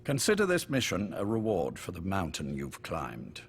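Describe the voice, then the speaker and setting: An actor playing a courteous but menacing older spymaster in a video game, urbane, measured and wryly polite. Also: male voice, low voice, huge pitch swings, gravelly, husky, heavily acted. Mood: grave, intense. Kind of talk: dramatic game voice acting.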